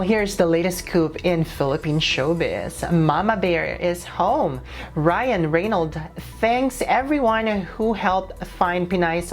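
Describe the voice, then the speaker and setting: A woman reading scripted showbiz news as a reporter. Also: fairly low pitch. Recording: music bed under the voice.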